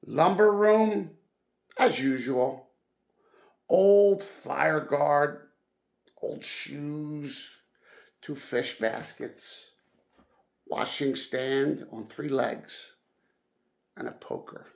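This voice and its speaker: Male audiobook-style reader for classic fiction: very unhurried, gravelly mid-pitched voice, dramatic emphasis on key words. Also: a little mellow. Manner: deliberate, dramatic phrasing with emphatic stresses. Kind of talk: storybook read-aloud narration.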